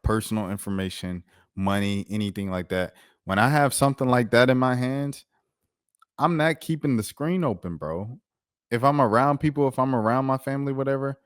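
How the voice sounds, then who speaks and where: A man's voice: fairly low pitch, no echo, studio quality. An American man giving a casual, opinionated sports rant in a slangy, incredulous, emphatic way, repeating himself for effect. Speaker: sports commentator, show host.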